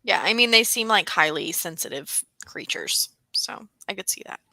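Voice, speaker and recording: A woman's voice: mid-range voice, animated, moderate pace, gravelly, pushed and bright, no echo. A woman co-hosting a book discussion, chatting casually and tentatively, peppering her speech with "like.". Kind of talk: casual chat.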